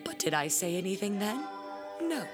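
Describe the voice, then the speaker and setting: An actress playing a proud, aggrieved, self-important middle-aged church bell ringer in an audio drama, speaking in a theatrical, haughty, deliberate way. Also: female voice, medium pitch, very animated, over loud music, close to an everyday voice. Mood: aggrieved, indignant.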